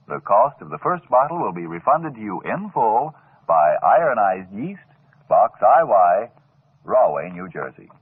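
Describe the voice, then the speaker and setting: A man's voice: medium pitch, husky. A male announcer delivering scripted copy in 1940s American radio style. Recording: narrow-band vintage radio recording.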